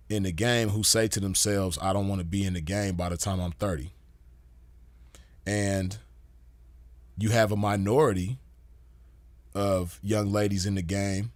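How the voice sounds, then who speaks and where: A man's voice: low-pitched, no echo. A man giving a casual commentary monologue as host, laid-back and full of "you know" fillers. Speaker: podcast host.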